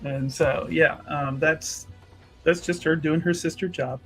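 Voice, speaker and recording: A man playing a tabletop roleplaying game, casually narrating his character's moves in a halting way with repeated words. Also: fairly high pitch, steady tone, fairly bright tone, soft background music, low-quality recording.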